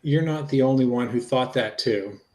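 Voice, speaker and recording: A man plays an investigator in a dramatic reading of a police interview. His pitch is average for a man. He speaks plainly and reassuringly, in a conversational way. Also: moderate pace, warm tone, lightly acted.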